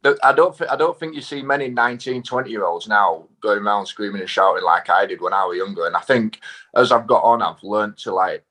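A man's voice: lowish voice, steady tone, a little fast, a bit bright. A young man with a Northern English accent, a footballer giving casual, plain-spoken interview answers. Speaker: professional footballer, centre back, guest.

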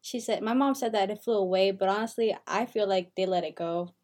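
A woman's voice: mid-range voice, a little fast, darkish tone. An eighteen-year-old woman co-hosting a podcast, talking in a chatty, casual way with lots of "like" fillers. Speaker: podcast co-host, university freshman.

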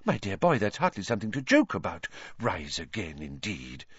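A man voicing a dreamy, fussy female divination teacher who talks softly and dramatically. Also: deep voice, huge pitch swings, normal speed, slightly rough, no echo, muffled recording, exaggerated voice. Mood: dreamy, dismissive. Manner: soft, whispery, dramatic.

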